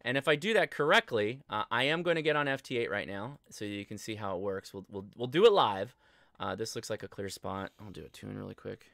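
An American man in his thirties talking through a casual livestream tutorial, conversational and halting as he thinks aloud. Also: on the low side, creaky, fairly bright tone, no room sound, studio quality. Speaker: livestream host and ham radio instructor. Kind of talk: casual live tutorial with chat replies. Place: indoor home studio desk, livestream.

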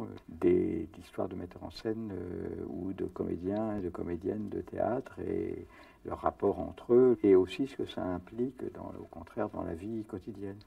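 An older man talks casually in an indoor interview, hesitant and amused, often pausing with fillers. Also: low voice, gravelly, warm tone, no room sound. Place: indoor room. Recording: dull, slightly distant, dry.

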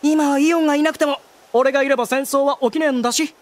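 A man's voice: extremely high pitch, fairly even intonation, fast. Brash, sulky teenage noble boy. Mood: irritated, boastful. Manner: brash, rough slangy speech, petulant. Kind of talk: Japanese anime audio drama acting.

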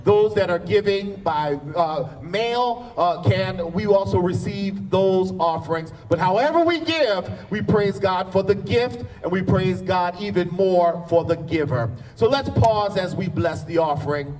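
High-voiced man praying outdoors, distant. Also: animated, a little mellow. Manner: measured, fervent prayer cadence. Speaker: pastor. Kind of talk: church prayer and announcements. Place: outdoor church parking lot service. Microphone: handheld mic at a podium, filmed by a far camera. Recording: distant outdoor mic with faint ambience.

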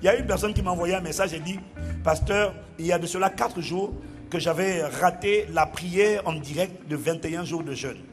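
A middle-aged man, a pastor, preaching in a large church on a handheld mic and reading out testimonies with animated exclamations. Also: sits high, normal speed, soft background music. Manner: animated, exclaiming, bursts into shouting and laughter. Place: large church auditorium with a crowd.

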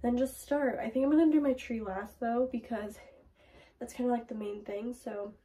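A woman's voice: fairly high pitch, steady tone, moderate pace, dark tone, breathy edge. A young woman vlogging casually to her phone camera, chatty and rambling, with a voice worn by a cold. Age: young adult. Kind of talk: casual vlog chat to camera. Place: bedroom and car. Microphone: phone held at arm's length.

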